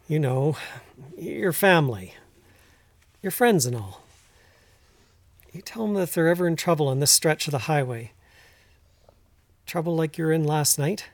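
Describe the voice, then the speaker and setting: Radio drama: plain-spoken rural husband in middle age, gruff, firm but decent, dry studio sound. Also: male voice, fairly high pitch, no echo. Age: middle-aged. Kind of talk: naturalistic audio drama acting.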